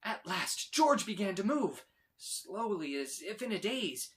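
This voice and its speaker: A man reading a children's storybook aloud in a dramatic, expressive way with emphatic delivery. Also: high voice, a little slow. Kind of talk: animated scripted storybook read-aloud.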